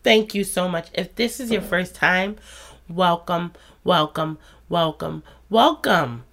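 A woman in her thirties hosts a faith podcast, stuffy and congested from a cold. She talks slowly and unhurriedly, in a chatty, encouraging tone. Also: on the low side, creaky. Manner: warm, chatty and encouraging, with soft laughs. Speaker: podcast host. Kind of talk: casual faith podcast monologue.

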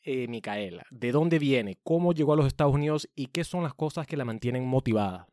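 Dark-voiced male radio host. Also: medium pitch, expressive, brisk, no echo, studio quality. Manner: formal, scripted. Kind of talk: community radio hosting.

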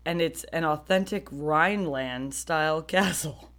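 A woman's voice: low-pitched, unhurried, fairly bright tone. An American woman co-hosting a podcast, telling stories in a casual, slangy, self-deprecating comic way. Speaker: podcast co-host. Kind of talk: casual comic storytelling chat.

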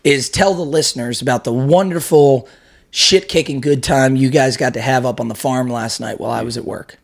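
A man hosting a podcast, his voice hoarse, chatting in an upbeat, mock-grand way with plenty of swearing. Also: medium pitch, moderate pace. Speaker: podcast host. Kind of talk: casual crude banter. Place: room in a house used as studio.

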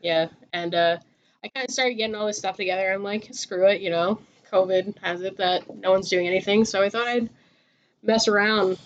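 A woman's voice: medium pitch, moderate pace, muffled recording, a little hiss or hum. A young woman hosting a casual podcast chat, joking and rambling with plenty of fillers. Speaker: podcast host.

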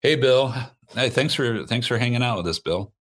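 A man guesting on a podcast in casual chat, halting and repeating his words, chuckling often. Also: mid-range voice. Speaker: podcast guest and internet radio host.

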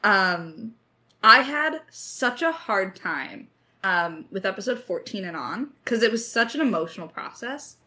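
A woman in her twenties chatting casually as a writer in a creator Q&A, candid and enthusiastic, quick to laugh. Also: mid-range voice, expressive, sharp tone. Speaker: audio drama writer in a creator Q&A. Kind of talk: casual chat.

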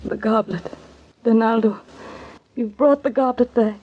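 A woman's voice: fairly high pitch, measured pace, close to an everyday voice. An actress playing an entranced, demanding noblewoman with insistent, dramatic 1940s radio acting. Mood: feverish, entranced. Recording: dull, hissy vintage radio recording.

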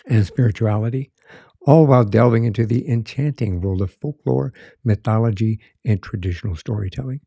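A man's voice: mid-range voice, gravelly, dark tone, slightly rough, no room sound. A middle-aged man hosting a podcast interview, friendly and rambling, often restarting his sentences. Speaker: podcast host. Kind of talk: conversational interview.

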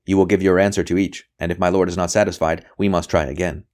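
A man's voice: deep voice, little pitch movement, fast talker, natural voice. Relentless interrogator for a storybook, acted by a man: clipped, insistent, coolly impatient official. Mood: pressing, stern. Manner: forceful, clipped, pressing questions.

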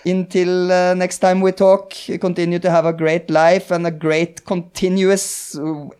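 A man's voice: sits high, flat delivery, a little slow, a little mellow, clear, resonant tone. A man with a Norwegian accent, a podcast host in casual conversation, rambling, searching for words and laughing often. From Norway.

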